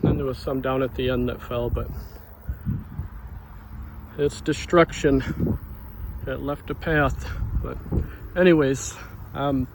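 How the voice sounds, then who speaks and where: A soft-spoken man giving an informal devotional talk outdoors near a street, in a quiet, unhurried manner. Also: medium pitch, fairly even intonation, noisy background. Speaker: devotional vlogger. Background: passing cars.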